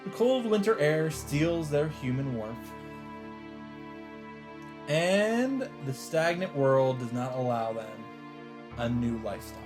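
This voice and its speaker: A man's voice: a little high, lively intonation, slow pace, pauses often. Man reading aloud over music. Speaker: let's player reading a visual novel aloud. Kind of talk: dramatic read-aloud with casual commentary. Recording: background music under the voice. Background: game music.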